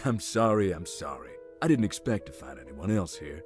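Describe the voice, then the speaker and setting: Low male voice acted by a man, animated, playful prankster, amused, horror game character. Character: playful, apologetic male prankster in a haunted hospital. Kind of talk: visual novel game voice acting.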